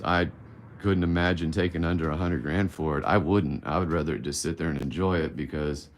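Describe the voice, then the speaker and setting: A man around fifty hosting a casual live-stream Q&A, candid and blunt, rambling and swearing casually. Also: deep voice. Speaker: livestream host answering viewer questions.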